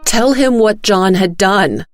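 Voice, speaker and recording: A woman plays a commanding woman in an audio drama. She speaks up forcefully and urgently, giving firm orders as someone trying to keep control, with faint music underneath. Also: mid-range voice, expressive, takes it slowly, dry recording, voice pushed up. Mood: insistent. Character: Anne, commanding, urgent woman keeping a friend in line.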